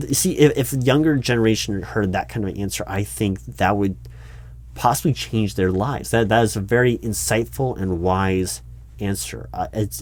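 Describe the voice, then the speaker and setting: A man playing a polite, awkward corporate recruiting manager in a one-sided interview roleplay, speaking out loud with a hesitant, stammering, formal manner. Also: lowish voice, lightly acted. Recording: steady background noise, keyboard typing. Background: computer keyboard typing.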